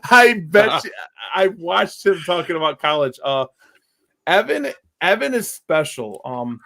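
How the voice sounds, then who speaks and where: Gravelly loud man, mic held back. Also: high-pitched, very animated, measured pace. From Wisconsin. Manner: loud, rambling, many fillers. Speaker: podcast host. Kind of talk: casual chat. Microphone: mic about two feet away.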